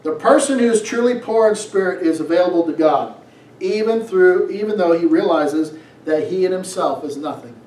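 A man's voice: high voice, lively intonation, darkish tone. A middle-aged man, a pastor, preaching emphatically, reading scripture and pressing his points with rhetorical questions. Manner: emphatic, exhorting, drawn-out phrases with rhetorical questions. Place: small church sanctuary, on stage. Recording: echoey room, distant from mic.

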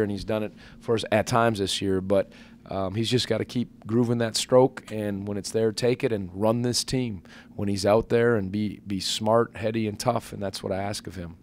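A man in his forties, a basketball head coach answering questions at a postgame press conference into a table microphone, measured and earnest with many fillers and restarts. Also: lowish voice, slight background noise. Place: press conference room with sponsor backdrop.

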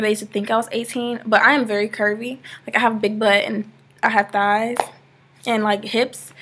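A woman's voice: medium pitch, sharp tone. An eighteen-year-old woman from Georgia, rambling casually in a webcam vlog from her sofa, full of "like" fillers. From Georgia, USA. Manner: chatty and rambling, many "like" fillers. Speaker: vlogger. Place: living room, seated on a sofa indoors. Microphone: laptop webcam, close framing.

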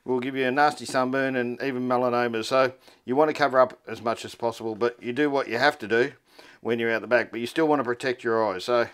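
A man with an Australian accent and a mid-pitched voice narrates a practical tutorial. He explains things informally, step by step. His wording is colloquial and his way of talking is laid-back. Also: steady tone, fast, fairly bright tone, smooth. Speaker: presenter of a four-wheel-drive repair tutorial.

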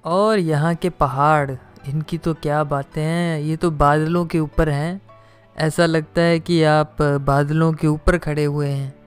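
A man's voice: fairly high pitch, fairly even intonation, a little slow. Male travel video host over faint music.

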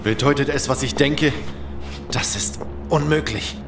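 A man's voice: mid-range voice, a little slow, music in the background, lightly acted. An actor playing a sober, uneasy male survivor in a horror audio drama. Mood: disbelieving.

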